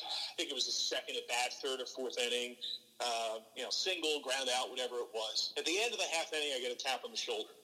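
A man's voice: mid-range voice, big-room sound, low-quality recording. A middle-aged man who calls baseball games, talking earnestly as a guest and telling stories with many restarts. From from New Jersey. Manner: earnest, animated, frequent restarts. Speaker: baseball play-by-play broadcaster, podcast guest. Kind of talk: relaxed interview storytelling.

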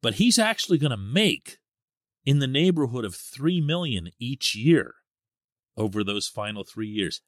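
A man hosting a sports podcast as a columnist, giving solo commentary in an animated, emphatic way. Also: mid-range voice, unhurried, no room sound, clean studio sound. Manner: animated and emphatic, stresses key words.

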